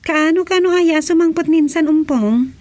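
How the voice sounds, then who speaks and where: Young village wife played by an actress, high voice, curious and practical, conversational radio drama. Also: female voice, normal speed, darkish tone, close to an everyday voice. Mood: curious, agreeing.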